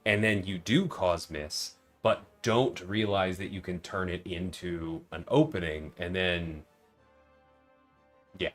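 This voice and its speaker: A man's voice: low-pitched, fairly even intonation, slow, fairly bright tone, soft background music. A man livestreaming a video game, chatting casually and thinking aloud as he reasons with viewers. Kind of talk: casual livestream gameplay chat.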